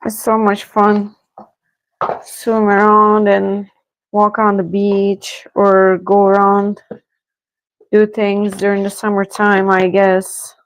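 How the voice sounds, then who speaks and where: A woman's voice: medium pitch, no echo, low-quality recording. A woman from Turkey, chatting casually with viewers as she hosts a live tarot reading stream. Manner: slow, relaxed, chatty with viewers, soft laughs. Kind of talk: casual livestream chat with viewers.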